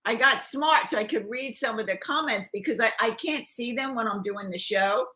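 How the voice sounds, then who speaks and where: Chatty older woman, bright voice. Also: on the high side, normal speed. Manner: chatty, laughs often, reads viewer comments aloud. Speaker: co-host, grandmother. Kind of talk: casual chat on a live show.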